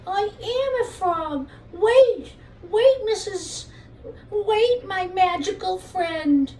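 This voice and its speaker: A woman's voice: very high voice, animated, very slow, darkish tone, pitched above the performer's own voice, exaggerated voice. A woman voicing a young fairy-tale prince turned frog, exclaiming theatrically in a children's puppet show. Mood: alarmed, pleading. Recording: roomy, distant mic, faint noise.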